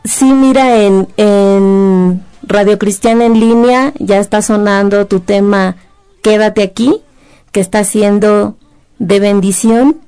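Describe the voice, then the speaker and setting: Female radio interviewer, warm smooth tone, relaxed slow delivery. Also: medium pitch.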